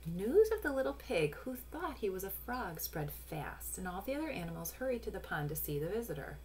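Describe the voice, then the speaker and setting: A woman in her forties reading a picture book aloud to young children, pausing to explain in an instructive way. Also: mid-range voice, very animated. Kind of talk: storybook read-aloud with explanatory asides. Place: small room at home. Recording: noisy home webcam recording.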